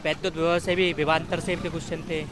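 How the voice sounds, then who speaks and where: A man's voice: on the high side, flat delivery, fast. A young man from Uttar Pradesh answering an informal street interview as an exam candidate. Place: outdoors, street among people. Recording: crowd noise, slightly distant voice outdoors.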